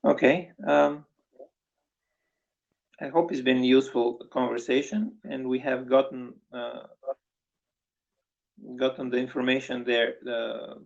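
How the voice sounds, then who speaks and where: A man from Bulgaria speaks as a guest on an online panel, his voice gravelly with vocal fry. He explains in a measured, methodical way, often restarting his sentences. The sound is dull and lo-fi, like an internet call. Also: medium pitch.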